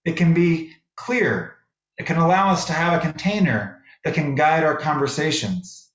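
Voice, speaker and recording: A male minister with a slightly high voice delivers a sermon in deliberate, measured phrases, pausing between thoughts. The sound is clearly roomy and echoing, as in a worship space, and a little dull on the top end. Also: lively intonation, a bit bright. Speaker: minister preaching to a congregation. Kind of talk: sermon with poetry readings.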